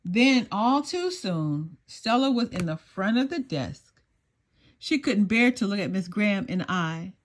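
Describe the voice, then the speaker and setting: A woman reading a children's story aloud in an animated, expressive storytelling manner. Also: medium pitch, measured pace. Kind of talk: animated scripted read-aloud narration for children.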